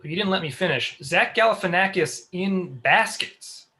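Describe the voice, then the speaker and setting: A man playing a blunt, deadpan show colleague in a comedy table read. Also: sits high, moderate pace.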